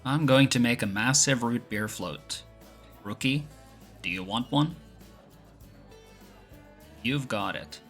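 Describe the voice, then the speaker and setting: A man's voice: mid-range voice, slow, pauses often, fairly bright tone, faint music behind. A man acting in an audio roleplay as an easygoing, good-humored young second-in-command of a clan, speaking in a playful, conversational way. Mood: friendly.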